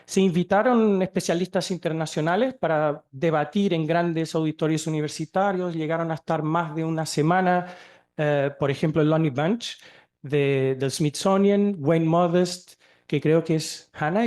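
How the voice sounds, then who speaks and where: A Portuguese man, a native speaker of Portuguese, lectures at a seminar in Spanish with a Chilean-flavored accent and a slightly raised pitch. He speaks haltingly, with frequent fillers and self-corrections, through a microphone in a lecture hall. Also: darkish tone. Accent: Chilean-tinged Spanish. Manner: hesitant, many fillers and restarts. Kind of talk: academic lecture.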